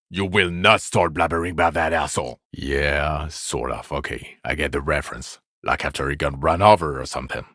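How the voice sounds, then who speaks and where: An actor playing a bitter, weary police detective in a video game, sardonic and exasperated. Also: male voice, extremely low pitch, pushed and bright, no echo, studio quality, full character voice. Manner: sardonic, exasperated, foul-mouthed. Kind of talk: dramatic video game acting.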